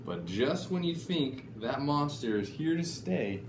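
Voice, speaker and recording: A man reading picture books aloud to children in an animated, deliberate storytelling style. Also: medium pitch. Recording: echoey room, faint background noise.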